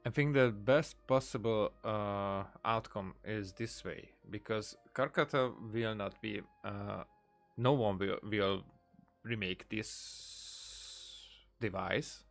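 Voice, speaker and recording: Slow, halting male game streamer. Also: fairly low pitch, gravelly, faint music behind. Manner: halting, searching for words, repeats himself. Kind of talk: casual live game commentary.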